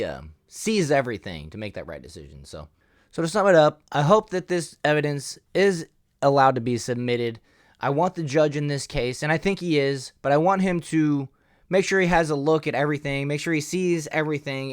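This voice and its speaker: A man's voice: mid-range voice, dry recording, clean studio sound. A man hosting a commentary channel, moving between reading news and giving his own opinion in a conversational, emphatic way.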